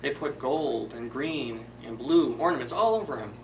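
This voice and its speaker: A man's voice: medium pitch, normal speed, far from the mic, background noise. A man retelling a story for children as a library storyteller, informally, in simple phrases with questions to the listeners.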